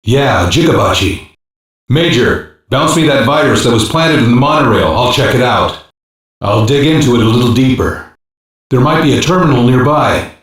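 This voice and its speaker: A man's voice: medium pitch, animated, moderate pace, a bit bright, natural voice. An actor playing a male intelligence hacker on a cyber-police team, giving matter-of-fact, clipped technical briefings as scripted video game lines. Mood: businesslike, focused. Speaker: voice actor in an English dub. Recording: very echoey, distant-sounding game audio capture.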